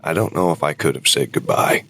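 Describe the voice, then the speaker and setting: A man's voice: rumbling low, a little fast, a bit bright, slight background noise. A man playing a weary older husband and war veteran, regretful but devoted, in an audio drama. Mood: subdued, tender.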